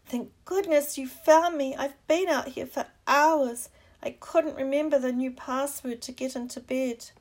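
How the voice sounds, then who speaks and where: A timid young schoolboy, voiced by a woman reading a story, frightened and forgetful. The voice climbs very high and pleads plaintively. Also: fairly even intonation, unhurried. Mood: relieved whining.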